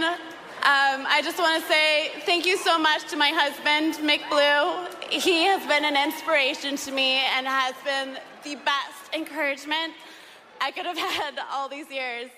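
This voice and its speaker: A woman giving an excited acceptance speech at an awards show, in an archive clip. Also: sits high, unhurried, soft background music. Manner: excited, breathless thanks. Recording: archive clip from a large hall.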